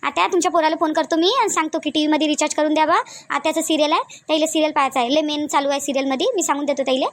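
Woman acting very high-pitched, fast-talking Varhadi village women. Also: fairly even intonation. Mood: insistent. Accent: Varhadi Marathi from Vidarbha. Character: chatty, bustling village women of one household. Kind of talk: cartoon voice acting in village family comedy.

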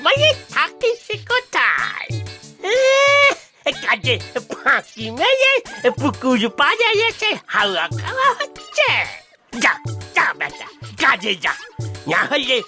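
A woman's voice: high voice, wildly swooping pitch, slow, bright, forceful tone, faint music behind, heavily acted. A woman voicing a cranky, mischievous, hard-of-hearing old grandparent in a comic Bengali cartoon, scolding and exclaiming in a muddled way. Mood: scolding, irritated.

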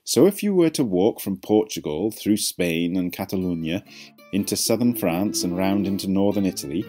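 Male educational narrator, low register, animated pitch movement, scripted delivery. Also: normal speed, soft background music. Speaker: narrator of an educational language video.

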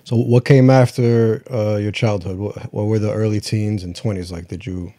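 A man's voice: on the low side, steady tone, normal speed, mellow. A man hosting a podcast interview, asking questions and answering with short, encouraging replies. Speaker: podcast host. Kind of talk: casual interview chat.

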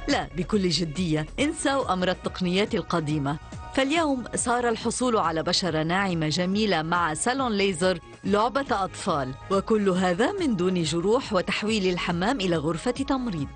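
Female voice-over for an ad, persuasive and animated, soft music under. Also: lowish voice, brisk. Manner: persuasive, expressive sales delivery. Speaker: advertisement voice-over. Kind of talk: dubbed infomercial narration.

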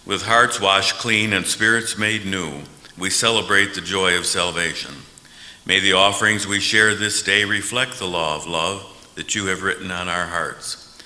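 Older American man, pastor, slow formal prayer, lower pitch, church reverb. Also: steady tone, pushed and bright. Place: large church sanctuary at pulpit.

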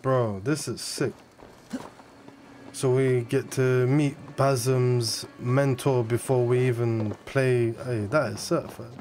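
A man's voice: mid-range voice, fairly even intonation, takes it slowly, a little mellow, a little hiss or hum. A man streaming a game, reacting casually in slangy, exclamatory bursts. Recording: game audio behind the voice.

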